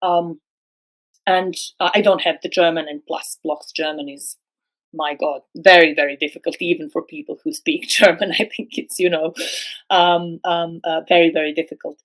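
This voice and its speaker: Halting, thoughtful woman with filler-heavy speech. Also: deep voice, steady tone, low-quality recording. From former Yugoslavia. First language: Serbo-Croatian. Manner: hesitant, many fillers and restarts. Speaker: guest philosopher. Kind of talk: academic podcast discussion.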